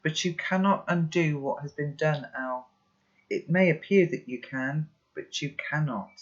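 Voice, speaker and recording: A reader voicing a calm, wise elderly grandfather who speaks slowly and thoughtfully, in a slightly muffled recording. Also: medium pitch, natural voice. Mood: calm, gently wise. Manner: slow, measured, philosophical.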